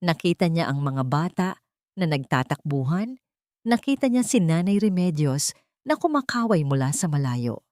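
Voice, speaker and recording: A woman's voice: deep voice, very animated, normal speed, a little mellow, no echo. Woman narrating sentimentally, dry close sound. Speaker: storyteller narrator. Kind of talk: scripted dramatic audio story narration.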